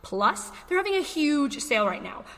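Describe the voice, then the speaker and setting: A woman playing a peppy, helpful friend making an earnest sales pitch in a mock radio ad. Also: sing-song, normal speed, a bit bright. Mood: perky selling. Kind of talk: scripted mock radio ad dialogue. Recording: echoey, reverberant room.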